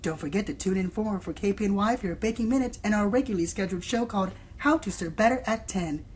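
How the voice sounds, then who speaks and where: A woman's voice: mid-range voice, quick pace, a little mellow, a little hiss or hum, lightly acted. A woman playing a sweet, chatty pony baking host giving a singsong recipe in a radio play.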